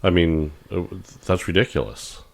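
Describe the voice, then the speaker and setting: A middle-aged man talks in a very deep, low voice. He chats casually as a podcast co-host, rambling along and tripping over his words. He gets animated when he imitates doctors in his stories. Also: normal speed, gravelly. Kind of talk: casual chat.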